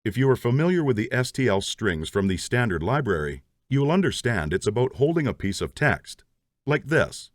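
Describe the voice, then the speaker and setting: A man's voice: mid-range voice, sing-song, moderate pace, no room sound. Man, methodical tutorial narration, dry close sound. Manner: methodical, explains step by step. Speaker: programming instructor.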